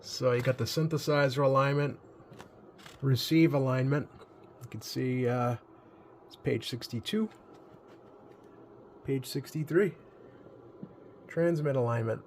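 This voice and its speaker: A man giving a casual, unscripted hands-on commentary as a hobbyist repairer, easygoing and halting as he thinks aloud. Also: mid-range voice, darkish tone, a little hiss or hum. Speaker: radio repair hobbyist hosting a video.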